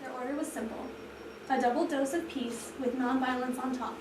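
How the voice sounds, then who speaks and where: A woman's voice: sits high, fairly even intonation, a little fast. A woman rehearsing as a trainee teacher, reading aloud and putting questions to a class. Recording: echoey, distant mic, clear background noise.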